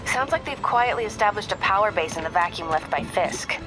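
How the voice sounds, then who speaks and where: Brief: animated young reporter, voiced by a woman, swinging pitch, warm teasing, game music behind. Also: fairly quick, very noisy, lightly acted. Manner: teasing, supportive. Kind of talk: video game dialogue.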